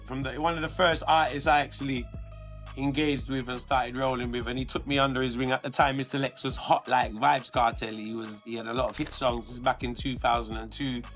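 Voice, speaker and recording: A man with a London accent, a guest artist talking earnestly through his career in a relaxed interview. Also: medium pitch, a little fast, faint music behind. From London, England. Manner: earnest, reflective, many restarts.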